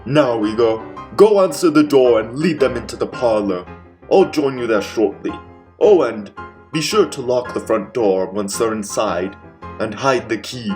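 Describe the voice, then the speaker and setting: Casting: man as megalomaniac mad doctor for old-time comedic radio mystery, theatrical and commanding, mid-pitched, measured pace. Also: expressive, darkish tone, faint music behind, natural voice. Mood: sinister scheming. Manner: theatrical, exclaiming, cackling. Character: gleeful megalomaniac mad scientist, villainous and bossy.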